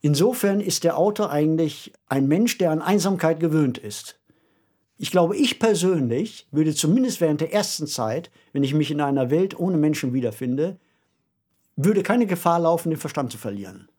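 A man's voice: on the high side, lively intonation, normal speed, mellow, breathy edge. A man co-hosting a conversational discussion, speaking in an emphatic, reflective storytelling way. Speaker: podcast co-host and author. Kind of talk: conversational discussion of a radio play.

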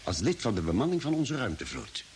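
An actor playing an earnest spaceship doctor in an old radio play, explaining deliberately with dramatic pauses. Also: male voice, medium pitch, big pitch swings, creaky, a little mellow. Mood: emphatic, explaining. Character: earnest spaceship doctor, reasoning out a grim theory. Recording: hissy vintage radio drama recording.

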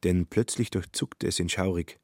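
Low, husky male narrator. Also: normal speed, darkish tone, no room sound, studio quality. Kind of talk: literary scripted narration.

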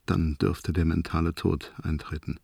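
A man's voice: low-pitched, flat delivery, normal speed, creaky, a little mellow, breathy edge, no echo, studio quality, heavily acted. An actor playing a weary older crewman dictating a grave spaceship log in a radio play. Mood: grave, factual. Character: weary oldest crewman logging a spaceship emergency.

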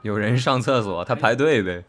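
A man's voice: fairly low pitch, moderate pace, a bit bright, soft background music. A man hosting a casual reaction chat, commenting and joking as he listens. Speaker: host reacting to audio drama clips.

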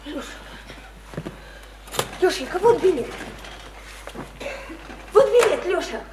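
A woman's voice: very high voice, sing-song, takes it slowly, roomy sound, heavily acted. An actress playing a simple-hearted, devoted woman near thirty in a radio play, imploring and naively repeating herself. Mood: tearful pleading. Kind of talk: theatrical radio play acting. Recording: faintly noisy 1991 radio play recording.

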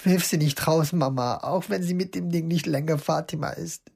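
An actress playing a young daughter who talks softly and earnestly to her mother in a radio drama. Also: low-pitched, normal speed, vocal fry, slightly rough, exaggerated voice.

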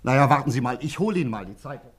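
A man's voice: medium pitch, animated, racing, breathy edge. A man acting an eager, gossipy small-town local in a German radio crime play, talkative and emphatic. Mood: eager, indignant. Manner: talkative, emphatic, indignant asides. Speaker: actor in a radio crime drama.

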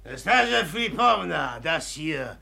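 Husky gravelly male voice, brooding obsessed suitor, rough, halting, radio drama. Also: fairly high pitch, sing-song, pushed and bright, heavily acted. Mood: intense, halting. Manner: slow, halting, heavy. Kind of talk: German radio play acting.

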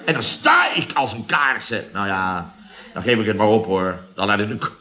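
An actor playing a grumbling, sarcastic middle-aged builder boss, blustering and exasperated, in 1970s Dutch radio comedy style. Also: male voice, fairly low pitch, sing-song, normal speed, sharp tone.

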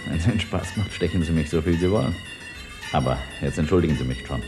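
A man's voice: very deep voice, animated, quick pace, over music. An actor playing a determined, self-sacrificing male scientist, speaking firmly and earnestly in a 1950s radio drama. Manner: firm, resolute, earnest.

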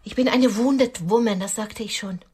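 Husky, mocking middle-aged woman. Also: fairly high pitch, normal speed, darkish tone. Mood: bitter, wistful. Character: disillusioned middle-aged lady on a blind date, mocking, wounded. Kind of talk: radio drama acting.

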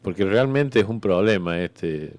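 Man as a low, smooth, easygoing Argentine heavenly clerk. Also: lively intonation, measured pace, darkish tone, dry recording. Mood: evasive, easygoing. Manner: hesitant, rambling, colloquial. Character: easygoing, evasive celestial clerk at eternity's front desk. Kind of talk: comic radio play dialogue.

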